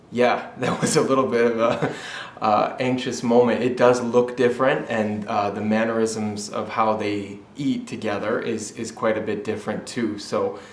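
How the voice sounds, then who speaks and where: Low, flat-voiced man in an echoey room. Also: slight background noise. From Michigan, USA. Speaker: husband sharing a church testimony. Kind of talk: personal testimony, interview-style.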